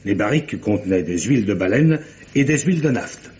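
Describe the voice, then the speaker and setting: An actor playing a pedantic, unflappable scientific doctor, precise and formal, in a vintage French radio mystery. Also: male voice, on the low side, animated, brisk, a little mellow, natural voice. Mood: matter-of-fact. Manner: precise, formal, dryly contradicting. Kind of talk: 1950s-style French radio mystery acting. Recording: dull vintage radio recording, faint noise.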